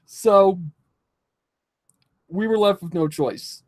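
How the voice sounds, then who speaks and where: Distressed young man, higher-pitched voice. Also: a little mellow. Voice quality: slightly affected speech. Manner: halting, repetitive, pleading, swearing. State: distressed, tearful. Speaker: vlogger. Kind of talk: emotional confessional talk to followers.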